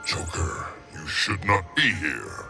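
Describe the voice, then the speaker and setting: An actor voicing an ancient, hulking plant monster who guards nature, speaking in a deep, gravelly, grave and formal way. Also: male voice, very animated, slow, full character voice. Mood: stern. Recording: game music behind the voice.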